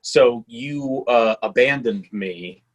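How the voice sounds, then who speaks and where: A man's voice: medium pitch, takes it slowly. A man giving casual feedback in a group discussion, feeling his way through his points with a hesitant delivery. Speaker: script workshop participant.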